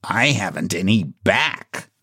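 A man's voice: a little high, sing-song, measured pace, no room sound, clean studio sound, voice pushed up, exaggerated voice. A man voicing a sulky, gloomy male clown in a children's story, cross and grumbling.

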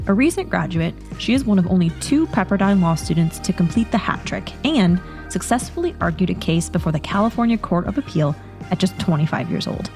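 A woman's voice: on the low side, lively intonation, fairly quick, darkish tone, soft background music. A woman hosting a podcast, reading a polished intro and then asking interview questions. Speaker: podcast host.

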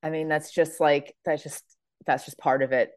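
A woman hosting a casual sports podcast, her voice hoarse and raspy from screaming, chatty with many fillers and laughs. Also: low voice, steady tone, normal speed, no room sound, clean studio sound. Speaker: podcast host. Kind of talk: casual chat.

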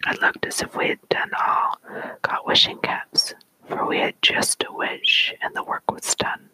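A storyteller reading an old folk tale aloud entirely in a soft, unvoiced whisper. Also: a little slow, rough recording.

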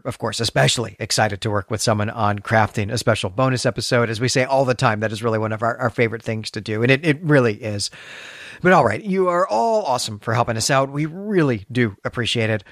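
A man's voice: mid-range voice, fairly bright tone, dry recording, clean studio sound. A man co-hosting a podcast, talking in a chatty, appreciative and conversational way. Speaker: podcast co-host.

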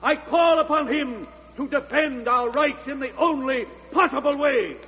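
An American man acting a bombastic, combative senator in an old-time radio drama, orating pompously and forcefully. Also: very high voice, a little slow, full character voice. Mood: thundering, accusing. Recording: dull, noisy vintage radio, long hall echo.

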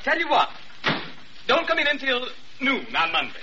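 An actor playing a pompous, fussy hospital chief in an old radio drama, formal and emphatic, handing out grand praise. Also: male voice, very high voice, big pitch swings, moderate pace. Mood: genial, magnanimous. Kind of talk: 1940s-50s American radio drama acting. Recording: muffled, faintly noisy old radio recording.

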